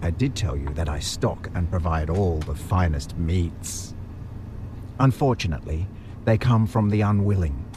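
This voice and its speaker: An actor playing a maniacal butcher crime boss in an audio drama, speaking with formal, theatrical, falsely courteous menace. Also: male voice, sits low, a little slow, background noise. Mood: sinister. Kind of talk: audio drama villain monologue.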